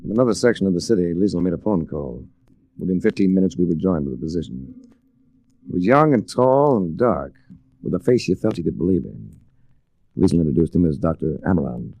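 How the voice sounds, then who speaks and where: Deep, mellow American radio adventurer. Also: male voice, expressive, a little fast, creaky, no echo, exaggerated voice, low-quality recording. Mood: brooding narration. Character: cool, worldly male American adventurer, tough and intrigued. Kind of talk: 1940s radio drama acting and hard-boiled narration.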